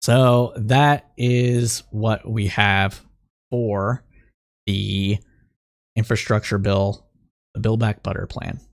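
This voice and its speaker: A man with a slightly raspy voice hosting a call-in political news podcast, explaining the week's news and reading reports aloud, with frequent fillers and restarts. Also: on the low side, fairly even intonation, slow pace, pauses often. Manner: thinking aloud, many fillers and restarts, reads news excerpts aloud. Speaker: podcast host.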